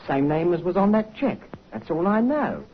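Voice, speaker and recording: A whining petty crook. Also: male voice, high-pitched, very animated, normal speed. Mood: aggrieved. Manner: defensive, aggrieved. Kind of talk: 1950s radio acting. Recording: noisy muffled vintage radio recording.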